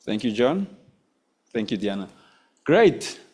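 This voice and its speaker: A man's voice: medium pitch, very animated, slow pace, darkish tone, slightly rough. A man chairing a society's annual meeting, formal and polite with a few hesitations. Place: meeting hall.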